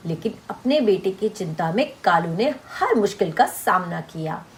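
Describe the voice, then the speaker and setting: A woman's voice: medium pitch, sing-song, normal speed, a little hiss or hum. A woman narrator reading a scripted moral story for children.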